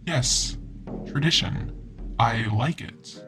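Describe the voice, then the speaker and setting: A sinister male ghost living inside a computer, as a man voices him. He answers in short, cryptic, taunting phrases in a mid-pitched voice. A clear music bed plays underneath. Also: takes it slowly, natural voice.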